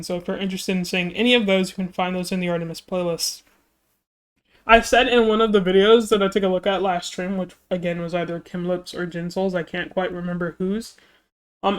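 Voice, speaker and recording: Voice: medium pitch, clean tone. A streamer reacting to music videos in casual chat, rambling with many fillers, while their voice keeps catching from throat trouble. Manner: rambling and chatty, many fillers and self-interruptions.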